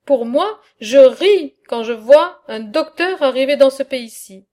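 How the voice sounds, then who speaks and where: A bold, mocking old-world satirist speaks in a high, unhurried voice, as a woman reads his part. He weighs each phrase with measured earnestness. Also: animated, mellow. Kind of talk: reading a philosophical dialogue aloud.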